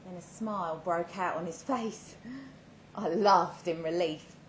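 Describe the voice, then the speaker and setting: Casting: female story reader, unhurried and dramatic, slightly low voice, recorded live in an echoing room. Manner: measured, with dramatic pauses. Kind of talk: dramatic first-person narration. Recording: roomy live venue with faint background noise.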